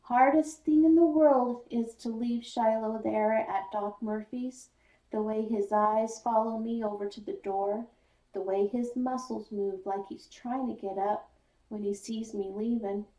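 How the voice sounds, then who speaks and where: A woman reading a children's novel aloud in first person, with deliberate, expressive storytelling. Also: on the high side. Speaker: audiobook reader, school speech paraprofessional. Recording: echoey room, slightly distant mic.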